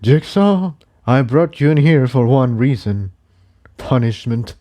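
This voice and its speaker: Man acting a slow, weary old pony elder. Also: medium pitch, expressive, dark tone. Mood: solemn. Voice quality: quavering. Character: elderly male pony ruler, weary and grave.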